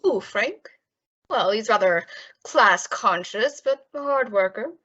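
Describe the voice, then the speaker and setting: A woman's voice: mid-range voice, lively intonation, takes it slowly, a bit bright, breathy edge, exaggerated voice. A woman playing a smug, menacing male nightclub gangster boss in old-time radio style, mock-polite and taunting. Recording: dull lo-fi audio.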